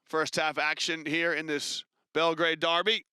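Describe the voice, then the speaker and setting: Bright, crisp male basketball commentator. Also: on the high side, no echo, clean studio sound. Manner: brisk, chatty, rises with excitement on big plays. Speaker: play-by-play commentator.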